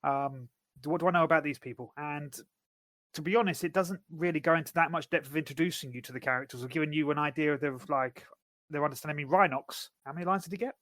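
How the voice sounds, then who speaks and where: A man co-hosting a podcast, talking through his views on a film in a measured, thoughtful way. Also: a little high, a bit bright, dry recording. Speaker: podcast co-host. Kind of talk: casual film discussion.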